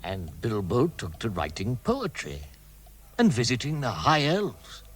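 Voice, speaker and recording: A man's voice: medium pitch, wildly swooping pitch, measured pace, a bit bright, breathy edge, full character voice. A man with an English accent giving scripted storybook narration in a vintage radio drama. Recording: vintage radio recording with music.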